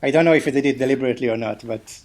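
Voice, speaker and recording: A man's voice: medium pitch, racing, a little mellow. A man whose first language is Hebrew, a guest on a podcast interview, speaking English hesitantly with many repeated words and restarts. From Israel. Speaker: guest, game translator. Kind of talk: podcast interview over a call.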